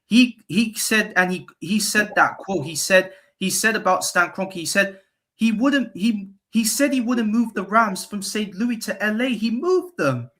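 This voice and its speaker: A man's voice: high-pitched, expressive, measured pace, pushed and bright. A man on a football call-in panel who hesitates and repeats himself while making a point.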